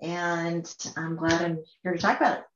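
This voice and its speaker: Low-voiced woman, casual and umming. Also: little pitch movement, normal speed, a bit bright, reverberant, highs cut off, a little hiss or hum. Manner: casual, lots of ums. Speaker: podiatrist guest. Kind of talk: panel self-introduction.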